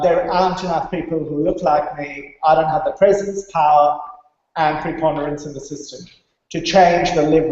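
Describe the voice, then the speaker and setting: Conference speaker, male, from India, higher-pitched but mellow, didactic delivery, heavily reverberant hall recording. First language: English. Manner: didactic, stresses key points with check-in tags. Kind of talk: conference presentation. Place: large reverberant conference room. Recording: very echoey hall, dull muffled sound.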